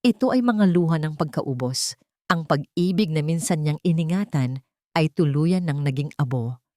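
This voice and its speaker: A woman narrating a scripted story in a melodramatic, deliberate way over music. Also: sits low, expressive, warm tone, dry recording, studio quality. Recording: music bed under the voice.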